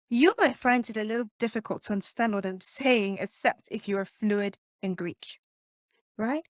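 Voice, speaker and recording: A woman with a soft voice, teaching communication skills as a coach in an emphatic way, often putting questions to the listener. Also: medium pitch, no echo, clean studio sound. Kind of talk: teaching presentation tips to camera.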